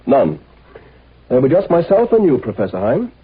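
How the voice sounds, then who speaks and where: An actor playing a curt, commanding galactic marshal in a 1950s radio drama, impatient and authoritative. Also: male voice, medium pitch, huge pitch swings, moderate pace. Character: brusque, commanding male galactic military marshal. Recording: noisy, tinny 1950s radio recording.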